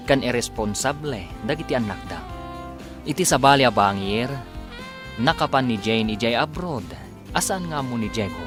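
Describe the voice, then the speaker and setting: A male announcer introduces a radio serial in a dramatic scripted style. His mid-pitched voice swings widely, with a Filipino accent, over a clear music bed.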